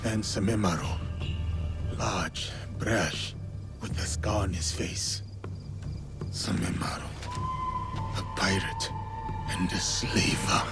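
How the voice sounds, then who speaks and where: A man voicing a grave, proud warrior in a video game, with deliberate, weighty phrasing and quiet menace. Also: sits low, vocal fry, over loud music, exaggerated voice. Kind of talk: dramatic video game acting.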